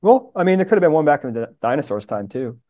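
A man's voice: on the high side, sing-song, fast talker, dark tone, muffled recording. A man speaking as a podcast guest in casual chat, joking in short, clipped phrases.

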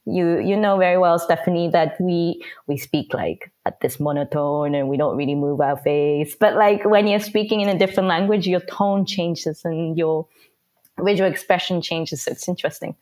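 A woman's voice: lowish voice, moderate pace, a little mellow. Reflective woman from Japan. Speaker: guest. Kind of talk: reflective interview answer.

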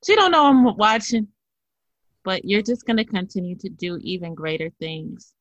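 A woman's voice: medium pitch, sing-song, normal speed, no echo. A woman podcast host, affirming her guest and speaking with preacherly repetition. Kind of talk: casual chat.

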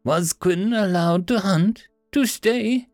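A man's voice: sits high, slow pace, no room sound, studio quality, faint music behind, pitched above the performer's own voice. A man voicing a regal, proud female house cat spirit with an Eastern European accent and broken speech.

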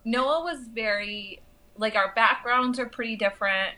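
A woman's voice: fairly high pitch, measured pace, pushed and bright, low-quality recording. An American woman from the southwestern United States talking casually in conversation as a guest.

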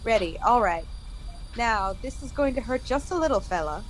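A woman playing a soft-hearted, tender female lab technician in an old-time radio science-fiction drama. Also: on the high side, expressive, normal speed, a little hiss or hum, natural voice, poor audio. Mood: tender, attentive.

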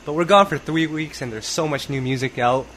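A man's voice: fairly high pitch, fairly quick, fairly bright tone, slight background noise. An American man hosting a podcast in casual chat, easygoing and teasing. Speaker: podcast host.

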